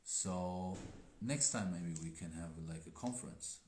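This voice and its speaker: A man who is not a native English speaker, chatting casually as a Daoist teacher on a livestream, halting with many fillers. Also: deep voice, darkish tone. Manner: halting, filler-heavy, laughs often. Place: indoor room with tea table. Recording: echoey room, faint noise.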